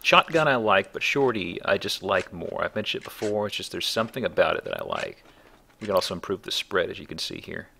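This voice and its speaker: Low gravelly man, bright-edged voice. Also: big pitch swings, brisk, slight background noise. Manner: wry, joking asides. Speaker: let's play host and player. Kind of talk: casual gameplay commentary.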